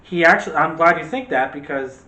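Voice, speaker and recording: A man's voice: on the high side, brisk, pushed and bright. A man co-hosting a casual, opinionated movie discussion, talking emphatically and straight to the viewers. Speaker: co-host of a movie discussion show. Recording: roomy echo with faint background noise.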